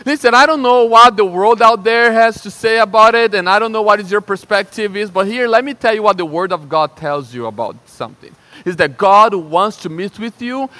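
A young man from Brazil with a Brazilian Portuguese accent, preaching a sermon in a lively, conversational way and often checking in with "right?". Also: sits high. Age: young adult. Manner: animated and conversational, with frequent restarts and "right?" checks. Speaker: pastor.

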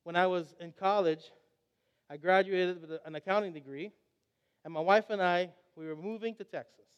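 A man's voice: high-pitched, fairly even intonation, moderate pace, clear, resonant tone, long reverb tail, highs cut off. A young adult man from Trinidad and Tobago preaching a sermon, earnest and reflective as he tells stories.

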